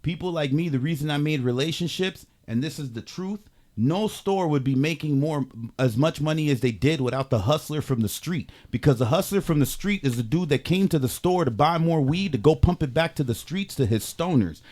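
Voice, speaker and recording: Forty-something valley guy, streetwise slang, voice a little high, talks animated. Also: a little fast, few pauses. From San Fernando Valley, California. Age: 40s. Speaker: guest. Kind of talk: casual chat.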